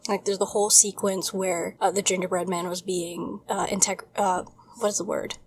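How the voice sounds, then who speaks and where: A woman in her twenties co-hosts a laid-back podcast, rambling with eager enthusiasm. Her pitch sits in the middle range, and a faint ambient noise runs underneath her voice. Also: little pitch movement, moderate pace. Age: 20s. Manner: enthusiastic, rambling, retells scenes with relish. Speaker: podcast co-host. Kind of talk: casual chat.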